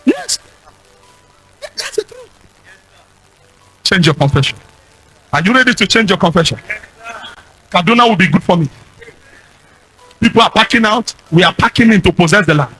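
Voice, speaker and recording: A Nigerian man with a husky voice and a Nigerian accent, preaching a fiery charismatic sermon as a pastor, exhorting with repeated commands and declarations. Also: high-pitched, animated, fairly quick, pauses often, sharp tone, soft background music, low-quality recording.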